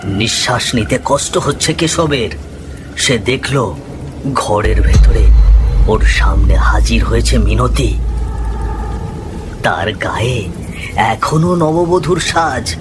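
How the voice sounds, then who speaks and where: A man's voice: mid-range voice, expressive, slow, long pauses. A man narrating a scripted ghost story in a dramatic storytelling style. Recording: music behind the voice. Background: music.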